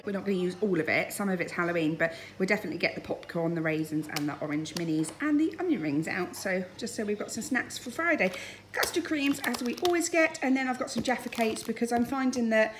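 A woman's voice: medium pitch, expressive, fairly quick, a little hiss or hum. A woman in her thirties with a British accent, chatting informally as she narrates a casual home vlog. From United Kingdom. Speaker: family vlogger. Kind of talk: casual grocery haul narration. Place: home dining room, indoors.

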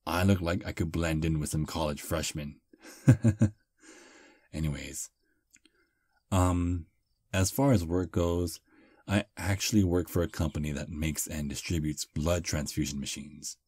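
A man voicing a friendly 215-year-old male vampire on a first date in an ASMR roleplay, soft-spoken and slightly halting. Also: low-pitched, fairly even intonation, slightly rough, no echo. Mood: easygoing.

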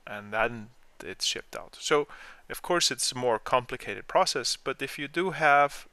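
A man explaining software step by step in an informal screen-share tutorial, as the trainer. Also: medium pitch, lively intonation, a little slow, clear, resonant tone, no room sound.

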